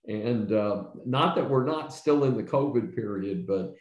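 A man's voice: mid-range voice, animated, unhurried, reverberant. An older man hosting a casual panel discussion over a video call from his home study, rambling with many fillers and restarts. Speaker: host. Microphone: video call webcam.

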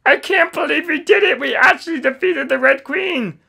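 A woman playing an excitable, naive, childish sidekick in a cartoon roleplay, exclaiming and repeating phrases eagerly. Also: high-pitched, normal speed, creaky, exaggerated voice. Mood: excited.

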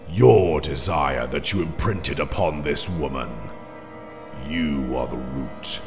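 An actor playing a solemn, menacing demon priest of hell with a gravelly voice and a grave, formal way of speaking, in a fan audio drama. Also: male voice, takes it slowly, full character voice. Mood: grave, accusing. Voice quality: very deep, gravelly. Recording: long cavernous reverb, music behind. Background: dramatic music.

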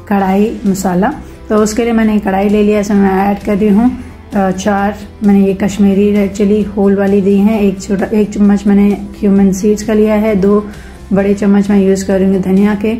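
Even-toned Indian cooking vlogger woman. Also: mid-range voice. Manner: step-by-step instructive, mixing English cooking terms into Hindi. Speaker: cooking channel host. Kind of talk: casual recipe voice-over.

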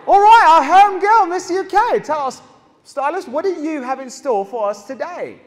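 A South African man in his thirties doing stand-up comedy on a large theatre stage with a handheld mic, animated and acting out characters. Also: very high voice, normal speed. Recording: live hall with audience.

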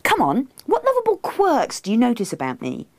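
A woman's voice: fairly high pitch, huge pitch swings, fairly quick, no echo, voice pushed up, heavily acted. A woman voicing a needy, insistent wife, pleading and indignant, in a comic book reading.

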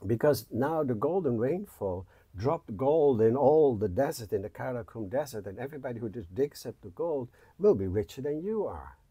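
A man's voice: medium pitch, expressive, moderate pace, few pauses, mellow, husky, no echo, voice pushed up. A man voicing a small, knowing spider who talks in a raised, emphatic way.

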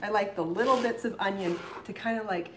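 A woman's voice: medium pitch, brisk. A middle-aged woman hosting a live cooking demonstration in her home kitchen, chatting and explaining as she chops, recorded by a fixed camera across the counter. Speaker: cooking show host. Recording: echoey kitchen with chopping noise. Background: knife chopping on a cutting board.

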